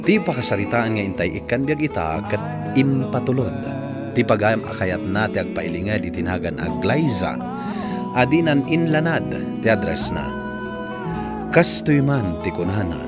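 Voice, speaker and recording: A man's voice: lowish voice, animated, normal speed. A man hosting and narrating a scripted radio letter programme, introducing a drama to listeners. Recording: loud music under voice.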